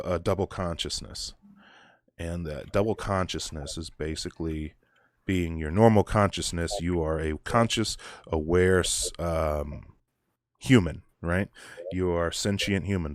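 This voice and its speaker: Very deep-voiced man, halting and thoughtful. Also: no room sound, clean studio sound. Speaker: guest. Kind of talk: casual conversation.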